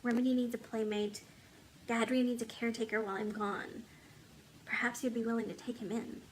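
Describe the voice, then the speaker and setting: A woman voicing a loyal, determined woman, a king's wise old friend, in earnest, measured storybook dialogue. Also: on the high side, little pitch movement, slight background noise, pitched above the performer's own voice, natural voice.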